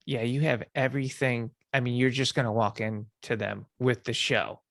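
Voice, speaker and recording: A man's voice: mid-range voice, fairly even intonation, moderate pace, gravelly, a bit bright. A man hosting a casual podcast interview, asking questions in an affirming, encouraging way.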